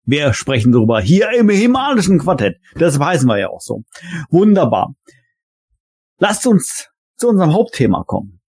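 A man hosts a podcast in a conversational way. His voice is dark and husky, of middling pitch, and recorded very dry. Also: very animated, moderate pace, dry recording. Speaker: podcast host. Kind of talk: conversational moderating.

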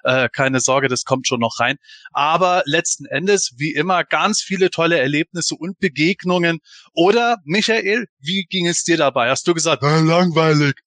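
A man's voice: a little high, moderate pace, fairly bright tone, no echo. A man hosting a casual live fan podcast in a chatty, bantering way. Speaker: podcast host.

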